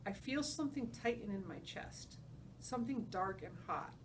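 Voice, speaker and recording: A woman's voice: mid-range voice, animated, moderate pace. A woman reading a story aloud as a teacher, narrating and putting questions to her students. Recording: distant mic with faint background noise.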